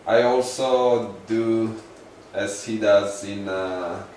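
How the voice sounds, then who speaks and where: A man's voice: lowish voice, steady tone, extremely slow, darkish tone, far from the mic. A man from Croatia introducing himself in a group, searching for words and chuckling. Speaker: meetup participant. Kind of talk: casual self-introduction in a group. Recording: echoey room, voice from afar, faint noise.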